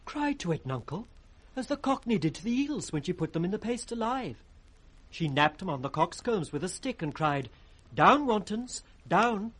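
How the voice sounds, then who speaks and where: An actor playing an impudent, loyal court fool in radio Shakespeare, teasing and riddling in a sing-song way. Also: male voice, sits high, very animated, moderate pace, fairly bright tone, slightly rough, heavily acted. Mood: mocking, teasing. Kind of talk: 1960s British radio Shakespeare acting.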